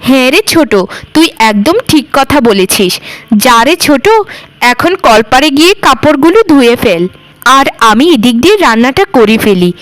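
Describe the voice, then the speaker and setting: A woman's voice: high voice, animated, moderate pace, fairly bright tone, dry recording, rough recording. A woman voicing a weary, aggrieved village sister-in-law in a melodramatic cartoon, complaining plaintively. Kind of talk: cartoon voice acting, melodramatic dialogue.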